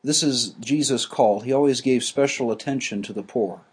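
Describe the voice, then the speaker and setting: A man teaching a class, explaining ideas slowly with frequent restarts and fillers. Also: mid-range voice, breathy edge. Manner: halting, many restarts and fillers.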